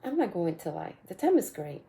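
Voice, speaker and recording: A woman with a raspy voice hosting a casual solo podcast, upbeat and chatty, often repeating herself and laughing. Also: lowish voice, sing-song, a little fast, dark tone. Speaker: podcast host.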